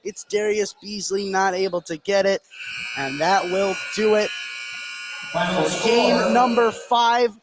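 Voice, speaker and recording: Higher-voiced male basketball announcer, noisy background. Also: takes it slowly. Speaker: play-by-play announcer. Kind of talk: live basketball play-by-play commentary. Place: indoor sports gym. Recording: clear crowd and gym ambience noise.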